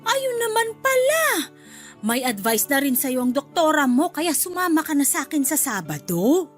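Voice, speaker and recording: A woman's voice: high-pitched, very animated, normal speed, soft background music. A woman playing a meddling middle-aged mother-in-law in a radio drama, chatty and sweetly critical. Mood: needling. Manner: chatty, fussing, needling under politeness.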